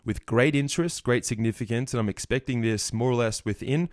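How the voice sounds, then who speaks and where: A man giving a market recap over his trading charts, a bit croaky, walking through trades confidently. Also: medium pitch, no room sound, clean studio sound. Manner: fast, confident chart walkthrough. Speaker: trading educator.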